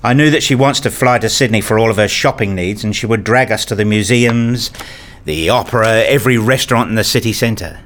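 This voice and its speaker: A man narrating a first-person radio story in a measured, reflective way, also voicing the father's lines. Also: fairly low pitch, fairly bright tone. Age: middle-aged. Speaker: narrator and lead actor playing a father.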